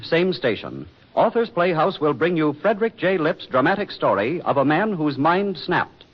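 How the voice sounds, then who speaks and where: A man's voice: fairly high pitch, muffled recording. A man announcing scripted credits on a 1940s radio broadcast with formal, dramatic enunciation.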